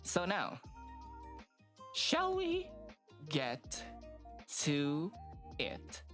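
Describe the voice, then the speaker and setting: A woman's voice: sits low, huge pitch swings, extremely slow, a bit bright, soft background music. A woman streamer with a stuffy nose chatting casually and rambling, with lots of fillers and hesitations. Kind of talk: casual live-stream chat.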